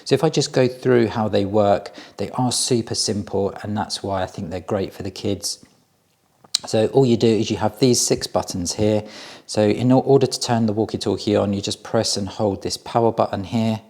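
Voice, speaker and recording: A man's voice: lowish voice, normal speed, dark tone. A man giving a casual hands-on product review, explaining each step in a plain-spoken way.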